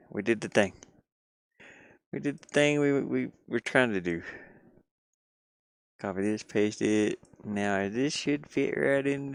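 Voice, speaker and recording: A man's voice: mid-range voice, vocal fry, fairly bright tone, no room sound. A man talking himself through his work in a casual think-aloud commentary, muttering playfully. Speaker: game developer streaming his pixel art work.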